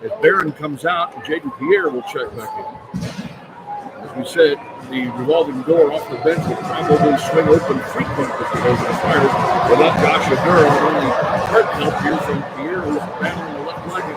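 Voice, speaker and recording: A man calling live basketball play-by-play on the radio in clipped, excitable running phrases. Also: fairly high pitch, moderate pace. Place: courtside in a basketball arena. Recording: loud arena crowd noise. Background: roaring crowd, loud gym noise.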